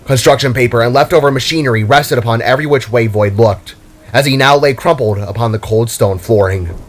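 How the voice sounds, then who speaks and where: A man's voice: mid-range voice, brisk. A man reading scripted narration for an action story in a dramatic storyteller's style. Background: music.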